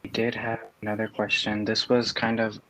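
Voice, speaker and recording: A man with a fairly low voice moderates a casual Q&A. His delivery is very even in tone as he relays questions, and the sound is dry and close. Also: moderate pace, no room sound, rough recording.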